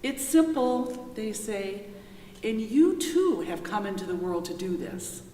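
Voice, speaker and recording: A woman recites poetry slowly, with deliberate phrasing and a medium pitch. She is heard from a little distance in a large reverberant hall, with faint background noise. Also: very animated, mellow. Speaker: readers theater poetry reader. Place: reverberant church, live reading.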